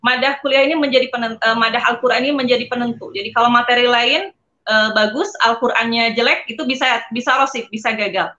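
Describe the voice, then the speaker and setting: A woman's voice: a little high, steady tone, quick pace, bright, forceful tone. A woman with an Indonesian accent, a guest speaker informally recounting and explaining personal experiences. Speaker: guest speaker, former student abroad. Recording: slightly dull, lo-fi stream audio.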